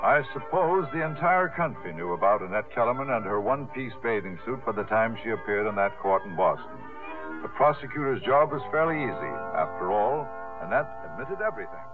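An actor as a wry, genial storyteller narrating a scripted 1950s radio drama. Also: male voice, fairly low pitch, big pitch swings, fairly quick, music in the background. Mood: wry, dramatic. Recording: muffled old radio recording.

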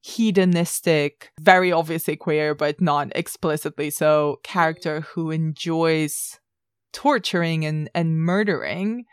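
A woman's voice: low voice, unhurried, clear, resonant tone, dry recording, studio quality. A woman co-hosting a podcast with considered, articulate film analysis, leaning on key words. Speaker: podcast co-host.

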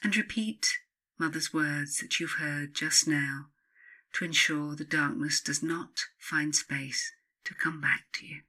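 A woman narrating a spiritual self-help book as its author, in a solemn, deliberate, incantatory way. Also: sits low, fairly even intonation, pushed and bright. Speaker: author and podcast host reading their own book.

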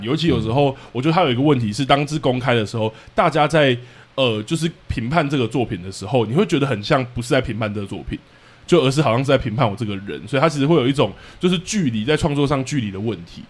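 Male interviewer, Taiwanese Mandarin accent, mid-pitched, fast and expressive, chatting casually. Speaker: podcast host, playwright.

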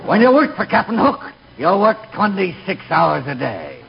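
Creaky, high-pitched old sea captain. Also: male voice, huge pitch swings, normal speed, heavily acted. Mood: bullying. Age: older. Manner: salty nautical talk, blustering. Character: gruff, cruel old sea captain, salty and tyrannical. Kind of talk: 1940s radio comedy acting. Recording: dull, noisy 1940s radio recording.

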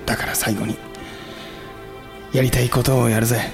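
An actor playing a bold, blunt, battle-hardened samurai commander in a Japanese period voice drama, with rough-edged masculine speech. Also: male voice, medium pitch, level tone, normal speed, music in the background, natural voice. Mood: wry, fond.

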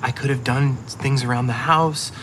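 A man playing a guilty, flustered ex-boyfriend in a naturalistic audio drama, halting and apologetic as he pleads. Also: medium pitch, fairly even intonation, very noisy, natural voice. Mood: pleading, agitated.

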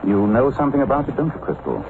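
An actor playing a suave, earnest gentleman adventurer in an old radio drama, measured and probing, on a noisy vintage recording. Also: mid-range voice, phone-quality sound, close to an everyday voice. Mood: urgent, pleading.

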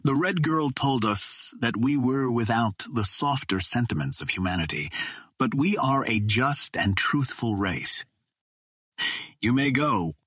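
Male narrator as green Martian chieftain for a storybook: stern, pronouncing, husky, dry sound. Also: mid-range voice, lively intonation, measured pace, a bit bright, dry recording. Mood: formal dismissal. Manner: formal, commanding, pronouncing.

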